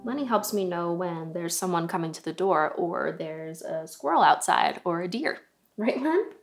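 A woman's voice: fairly low pitch, vocal fry. A young adult woman presenting a children's story time, reading aloud and chatting in a friendly way. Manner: friendly, chatty asides to her dog. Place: living room sofa, indoors.

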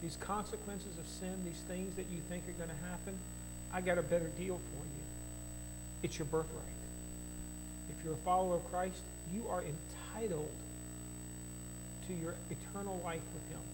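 Middle-aged male pastor, high-pitched, dynamic pulpit delivery, podium mic, hummy recording. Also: steady tone, moderate pace, long pauses. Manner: preaching with big rises in volume on key phrases. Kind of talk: sermon. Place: church hall with projector screen and podium. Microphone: podium microphone. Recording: mains hum behind the voice.

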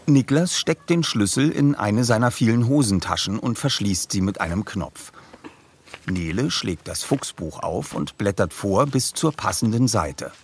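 A man's voice: on the low side, animated, measured pace, a little hiss or hum. A man narrating a children's detective audio play as a scripted storyteller.

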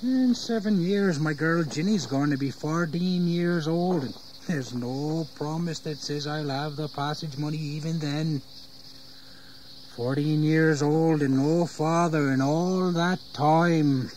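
A bitter indentured English servant in a history radio drama. He talks slowly in a rustic, grumbling yet deferential way. There is faint background noise. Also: fairly high pitch, a little mellow, rough recording. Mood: bitter, weary. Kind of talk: dramatized audio history acting. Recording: 1980s audio drama, insect ambience.

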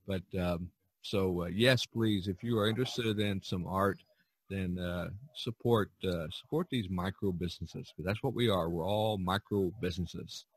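A man hosting a podcast, chatting casually and haltingly about his work as an artist. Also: deep voice, slightly rough, no room sound.

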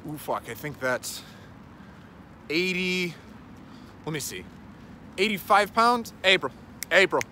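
A man's voice: sits high, sharp tone, slight background noise. Rambling, cursing man vlogging outdoors. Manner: rambling, profane, many uh fillers. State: runny nose. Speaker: gym owner vlogger. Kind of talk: casual walking vlog. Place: outdoors on a suburban street at dusk. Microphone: phone camera held at arm's length.

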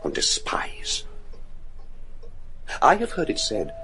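A man's voice: takes it slowly, whispered, music in the background, natural voice. A man playing a bitter, haunted young heir of a gloomy manor in a gothic radio drama, formal, evasive and ominous. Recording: noisy radio drama track with ambience.

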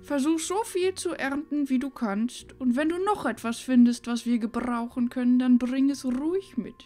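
A woman playing an old, practical village grandmother with a hoarse, croaky voice in a fantasy radio play. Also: sits high, normal speed, mellow, close to an everyday voice. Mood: matter-of-fact, instructing.

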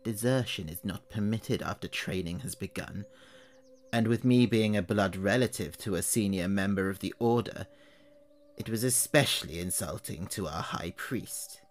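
A man voicing an old witch who complains about her neighbors at a slow, chatty pace. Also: lowish voice. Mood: brooding. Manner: slow, chatty complaining monologue rising to anger. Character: old witch woman, wary, aggrieved, self-pitying. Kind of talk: audio horror story reading.

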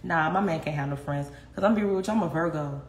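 A woman's voice: deep voice, quick pace. A young woman chatting candidly with viewers on a phone livestream from her home kitchen, laughing often and swearing freely. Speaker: livestream host. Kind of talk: casual livestream chat with viewers. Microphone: phone at arm's length. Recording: echoey kitchen with faint noise.

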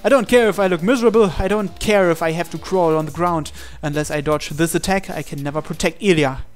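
A man's voice: a little high, brisk, faint music behind. A man reading a visual novel aloud as dramatic first-person narration, with an earnest, intense and theatrical delivery. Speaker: let's-play reader of a visual novel.

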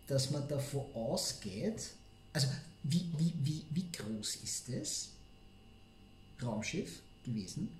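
An Austrian man gives casual commentary as a co-host. His voice is a little high for a man yet dark and relaxed in tone. It is recorded in an echoey room with some faint noise.

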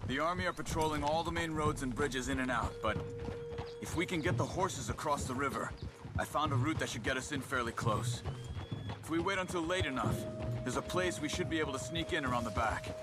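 A male actor plays a steadfast, frank outlaw scout in a western video game. His voice is fairly high for a man and measured, over prominent music. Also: lightly acted. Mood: focused. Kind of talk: cinematic western game dialogue. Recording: dramatic music bed underneath.

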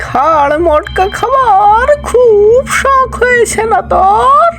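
A woman's voice: very high-pitched, animated, takes it slowly, exaggerated voice. A woman voicing a threatening, taunting female ghost witch in a children's cartoon fairy tale. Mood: menacing, taunting. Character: menacing female ghost witch who threatens to snap necks.

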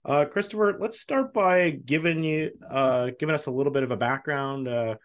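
A man's voice: fairly high pitch, moderate pace. A man, hosting a casual interview over a video call. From Canada. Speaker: podcast host. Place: small room, on a video call. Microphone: video call, close to camera.

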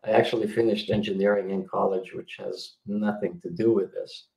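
Gravelly middle-aged man on a video call. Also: deep voice, steady tone, normal speed, darkish tone, reverberant, rough recording. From Yugoslavia. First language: Hungarian. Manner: explanatory, many fillers and restarts. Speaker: guest, bioenergy healer. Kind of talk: interview over video call. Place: indoor home room.

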